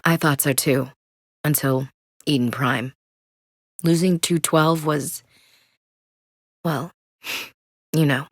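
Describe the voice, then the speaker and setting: Tough young marine, low-pitched, blunt and dry, game voice work, dead-dry studio sound. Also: female voice, dry recording. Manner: blunt, soldierly, dry wit. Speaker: squad soldier. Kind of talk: video game voice acting.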